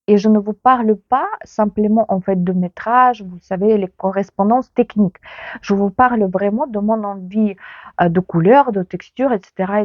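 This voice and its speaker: A woman's voice: medium pitch, no echo, muffled recording. A woman whose first language is Ukrainian, hosting a knitting podcast in a casual chat to viewers, with many hesitations and filler sounds.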